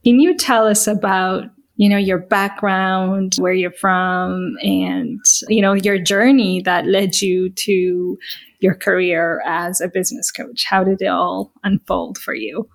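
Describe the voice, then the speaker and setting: A woman's voice: medium pitch, fairly even intonation, slow. A woman hosting a podcast, welcoming her guest and listeners in a gushing, affirming way. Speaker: podcast host.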